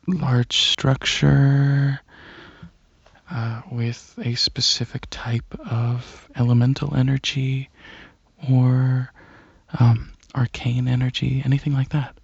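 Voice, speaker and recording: Soft, husky, hesitant alien dragon played by a man. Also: mid-range voice, flat delivery, no room sound, muffled recording, heavily acted. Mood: explaining. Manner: hesitant, halting, softly earnest. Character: shy, gentle alien dragon host, curious about Earth. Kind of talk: ASMR roleplay, soft rambling monologue.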